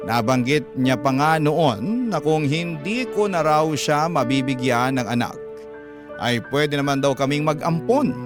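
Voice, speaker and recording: A Filipino man with a fairly high voice narrates a personal letter as a radio storyteller. The delivery is scripted, in classic radio style, with music clearly playing underneath. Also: a little slow.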